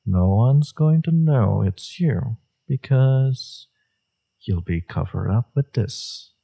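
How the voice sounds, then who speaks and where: A man playing a smug, seductive villain in a romantic audio roleplay, teasing and sure of himself, with a low, gravelly, husky voice. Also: animated, mellow, full character voice. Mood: smug, flirtatious. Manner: teasing, self-assured, unhurried.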